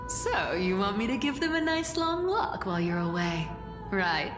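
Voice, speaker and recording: A woman's voice: medium pitch, very animated, moderate pace, lightly acted. An actress playing a sly, teasing female trickster demigod in a game, with a playful, mocking way of talking. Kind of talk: game voice acting. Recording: roomy echo, long reverb, music behind.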